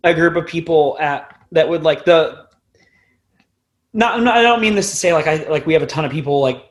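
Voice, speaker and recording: A man's voice: a little high, animated, brisk. A young adult man talking as an interview guest in a casual, rambling, informal way, with some loose swearing. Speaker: band frontman, podcast guest.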